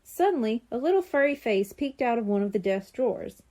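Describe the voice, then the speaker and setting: A woman narrating a children's storybook in an animated storytelling manner. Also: fairly high pitch, fairly quick. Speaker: audiobook narrator.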